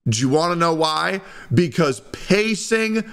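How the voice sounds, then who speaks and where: A man with a slightly high voice gives spontaneous reaction commentary. He is confrontational, pushing his argument through pointed rhetorical questions. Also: lively intonation, measured pace, clean tone. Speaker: reaction video creator.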